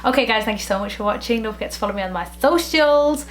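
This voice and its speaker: A young woman, talking through a casual vlog in a chatty, expressive way and dropping in lots of "like". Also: a little high, fast talker, faint music behind. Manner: chatty and expressive, with filler likes. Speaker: vlogger.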